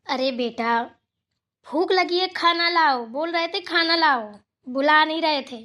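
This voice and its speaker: A woman's voice: sits high, unhurried, sharp tone. A woman voicing a grumbling but caring elderly village mother-in-law in a colloquial, fussing Hindi cartoon family drama. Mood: grumbling, hungry.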